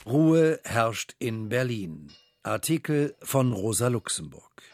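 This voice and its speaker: Radio drama narrator, male: slow, measured delivery, sober and factual. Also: mid-range voice, sing-song, exaggerated voice. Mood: neutral. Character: sober male documentary announcer. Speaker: announcer of sources, dates and places.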